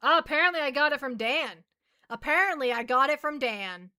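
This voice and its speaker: A woman's voice: high-pitched, lively intonation, a little fast, bright, forceful tone. A woman chatting casually to viewers as a livestream host, rambling playfully and often repeating her phrases.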